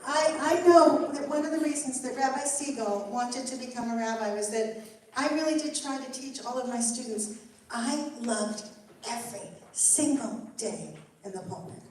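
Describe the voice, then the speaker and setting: A woman's voice: sits high, normal speed, a little mellow. An older woman rabbi leading a Torah study, earnest and encouraging as she teaches the group and answers questions. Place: synagogue hall. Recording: echoey room, mic far off, faint noise.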